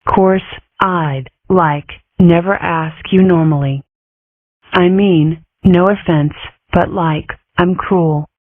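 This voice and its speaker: A woman's voice: fairly low pitch, steady tone, slow, dry recording. A woman voicing a snobby, cool cartoon schoolgirl who drawls condescendingly with lots of filler words. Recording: tinny, phone-like audio.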